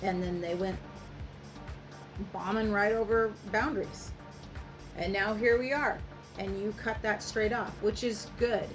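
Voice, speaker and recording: A woman's voice: medium pitch, fairly even intonation, unhurried, pauses often, music in the background. A woman tarot reader speaking directly to the listener in a casual, reassuring advice monologue, swearing freely.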